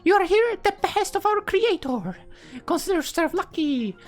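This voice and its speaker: A man's voice: very high voice, big pitch swings, moderate pace, soft background music, pitched above the performer's own voice. A man voicing a bubbly, teasing anime schoolgirl love interest in a visual novel, chirpy and theatrical. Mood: amused explaining.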